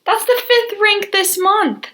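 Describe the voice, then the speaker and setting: A woman's voice: extremely high pitch, takes it slowly, sharp tone, full character voice. A woman playing a chatty, scatterbrained aunt who rambles through gossip in a radio drama. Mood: gossipy, excited. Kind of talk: scripted radio drama acting.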